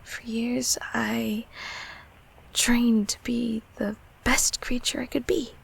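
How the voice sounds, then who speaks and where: A woman plays a kindly, apologetic monster from a childhood closet in an intimate audio roleplay. The voice is a high, very husky whisper with a creaky edge. It speaks softly and haltingly, soothing and protective. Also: little pitch movement, full character voice. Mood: earnest, reassuring. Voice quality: breathy, raspy whisper.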